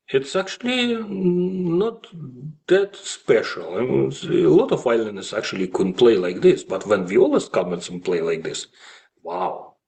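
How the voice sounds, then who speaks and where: A man from Russia with a Russian accent, telling his story as an interview guest in a halting way with frequent pauses. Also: mid-range voice, darkish tone. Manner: halting, with frequent pauses and slight grammatical slips. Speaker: guest, classical violist and music professor.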